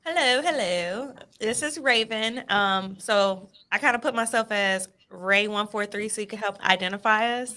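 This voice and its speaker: A woman from New Orleans hosting a podcast, introducing herself in a relaxed way and laughing easily. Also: mid-range voice, normal speed, hardly any pauses. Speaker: podcast host. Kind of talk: casual chat.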